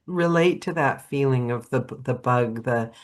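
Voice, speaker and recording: Deep-voiced woman hosting a chat. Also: moderate pace. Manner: emphatic, supportive, playful. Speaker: podcast host. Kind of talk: casual interview chat.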